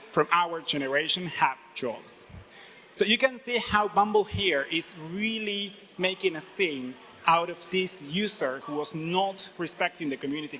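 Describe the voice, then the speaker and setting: Guy giving a conference talk, bright sharp voice, big echoey hall. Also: high voice. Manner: explanatory, walks through slides. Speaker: conference speaker. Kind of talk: marketing talk. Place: conference stage.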